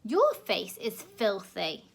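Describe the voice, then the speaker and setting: A woman voicing a bossy, half-deaf old grandmother who barks and shouts her scolding lines. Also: medium pitch, lively intonation, normal speed.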